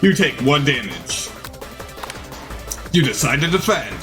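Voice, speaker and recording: A man's voice: mid-range voice, very animated, unhurried. A man narrates a dating simulator playthrough, reading the on-screen story aloud. His delivery is theatrical and dramatized, and music plays underneath.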